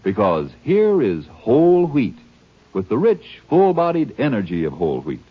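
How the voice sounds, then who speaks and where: A male announcer delivers an old-time radio commercial. His husky, mid-pitched voice swoops with emphatic, folksy salesmanship on a dull recording. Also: wildly swooping pitch, normal speed.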